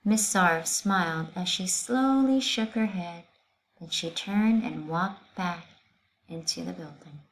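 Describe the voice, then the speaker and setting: A woman's voice: fairly low pitch, slow, low-quality recording. A woman reading a children's book aloud as a storyteller, narrating expressively with dramatic emphasis.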